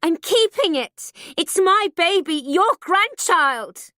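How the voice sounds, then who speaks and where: A scared young woman who holds firm, her voice high and raised, as a woman reading puts her on. The reading is slow and dry, with no room sound. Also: animated, sharp tone, no room sound, full character voice. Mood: screaming. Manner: scared but resolute.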